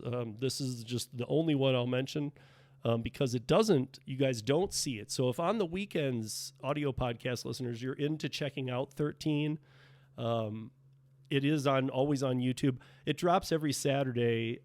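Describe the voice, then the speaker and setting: A man hosting a solo podcast, slightly hoarse from losing his voice, chatting casually with lots of fillers and restarts. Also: medium pitch, a little mellow, dry recording. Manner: rambling, many fillers and restarts. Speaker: podcast host. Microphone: podcast microphone.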